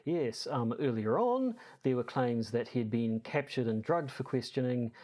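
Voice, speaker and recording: A man of medium pitch co-hosts a podcast. He talks casually and at some length, rambling a little and hesitating as he repeats words on the way through a sentence. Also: animated, normal speed, darkish tone. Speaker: podcast co-host.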